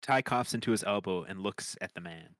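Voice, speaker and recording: Male narrator for comedy screenplay read: low, forceful tone, dry recording. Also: a little fast, no echo. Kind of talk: scripted narration of comedy stage directions.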